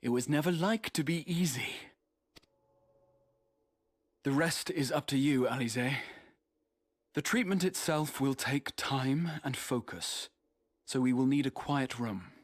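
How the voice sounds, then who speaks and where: A man playing an earnest, courteous scholarly mage in a video game, speaking in formal, measured, archaic phrasing. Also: mid-range voice, no room sound, lightly acted. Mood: calm, earnest. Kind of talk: scripted video game cutscene acting.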